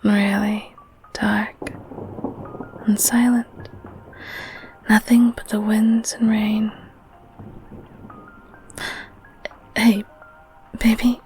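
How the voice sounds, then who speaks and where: A woman voicing a loving, clingy, protective girlfriend in an intimate ASMR roleplay, speaking in a soft whispery voice with tender, gentle cooing. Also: on the high side, flat delivery, slow, long pauses, faint music behind. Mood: soothing, protective. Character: loving clingy girlfriend, secretly eldritch being, soothing and protective. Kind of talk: ASMR audio roleplay, intimate one-sided scene.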